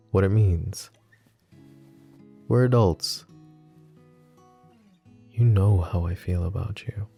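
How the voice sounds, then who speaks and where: A brooding male mafia boss in an ASMR roleplay, speaking low, slow and gravelly with a dark, mellow tone. He is soft-spoken and teasing, close to the mic, with faint guitar music behind him. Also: exaggerated voice. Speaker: voice actor.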